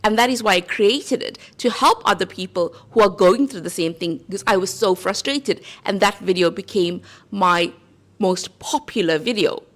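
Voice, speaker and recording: A woman's voice: mid-range voice, moderate pace. A woman with a South African accent, talking casually to camera about growing a channel, earnest and conversational, often checking in with "right?". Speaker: YouTube creator. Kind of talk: casual talking-head advice.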